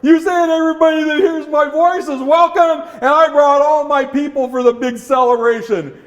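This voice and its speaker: Man putting on a cheeky dog leader, very high, loud, excited, storybook tale. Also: normal speed. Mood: eager. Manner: excited, shouting and laughing. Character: boisterous male dog leader, eager and cheeky.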